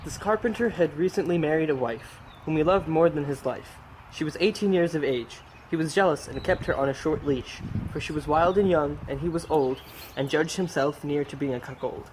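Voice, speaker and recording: A young man with a slightly raised voice reads an old comic tale aloud in an amateur, deadpan manner. His delivery is plain and a little awkward, and faint hiss sits under the recording. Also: a little high, fairly quick. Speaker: storyteller reading the tale aloud.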